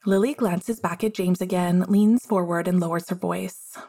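A woman reading a story aloud as its narrator, in a measured storytelling manner. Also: on the low side, fairly even intonation, warm tone. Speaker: fiction narrator.

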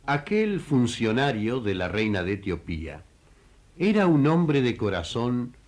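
A man's voice: medium pitch, sing-song, measured pace, a little mellow. A man hosting and narrating a radio story, talking to listeners conversationally as friends. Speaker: host and narrator.

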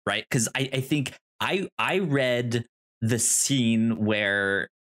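Slow, hesitant man chatting about films. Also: medium pitch, fairly bright tone. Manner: hesitant, halting, restarts and repeats words. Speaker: podcast co-host. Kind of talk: casual film discussion.

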